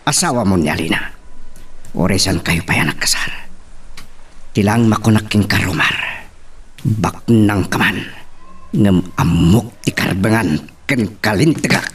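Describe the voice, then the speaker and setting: A man's voice: on the low side, a little slow, pauses often, husky, distant mic. A man playing a concerned grown son in an Ilocano melodrama, with an Ilocano accent. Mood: grave. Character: concerned grown son questioning his mother. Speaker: voice actor in a drama.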